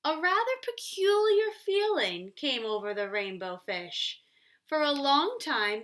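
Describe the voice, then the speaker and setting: A young woman teacher leads a read-aloud lesson for children. Her voice is fairly high and bright, and she explains things slowly and deliberately. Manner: deliberate, explanatory, checks in with "right?". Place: small room at home, indoors.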